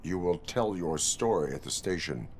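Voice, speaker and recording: An actor playing a stoic cyborg police officer in a video game, speaking in a deadpan, formal, clipped way. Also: male voice, low-pitched, moderate pace, slight background noise. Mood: stern, commanding.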